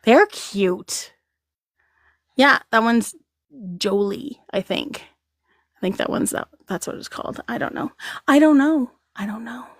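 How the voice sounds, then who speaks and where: Woman host of a casual stream, giggly and rambling, a touch high-pitched, recorded dry. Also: lively intonation, unhurried, pauses often, dry recording. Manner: giggly, rambling, reads chat aloud. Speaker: livestream host. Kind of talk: casual livestream chat.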